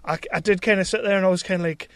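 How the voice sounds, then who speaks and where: Higher-voiced man, dry close sound. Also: fairly even intonation, very fast, fairly bright tone, no room sound. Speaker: podcast host, interviewer. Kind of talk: casual podcast interview chat.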